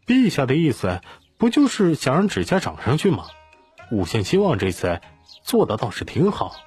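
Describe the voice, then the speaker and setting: Man acting an excitable young prince, swooping pitch. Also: normal speed, breathy edge, soft background music. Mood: naive approval. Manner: earnest, exclamatory.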